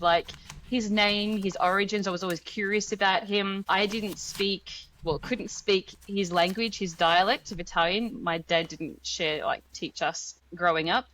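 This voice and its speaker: A woman's voice: medium pitch, a bit bright, poor audio. A woman from Australia with an Australian accent, chatting informally as an interview guest and telling personal stories. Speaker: interview guest, language guide founder. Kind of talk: casual personal storytelling in an interview.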